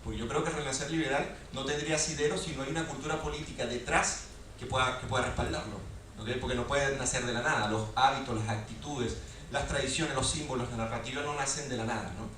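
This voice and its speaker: A man's voice: medium pitch, fairly even intonation, quick pace, fairly bright tone. A man from Venezuela moderating a panel, speaking hesitantly with many fillers. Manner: hesitant, filler-heavy questions. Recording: echoey hall, distant mic, faint noise.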